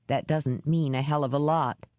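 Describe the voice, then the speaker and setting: A woman voicing a gruff, remorseful male ex-cop in an audiobook, speaking low, slow and measured. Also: fairly even intonation, a little mellow, dry recording, lo-fi sound, lightly acted. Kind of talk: audiobook character reading.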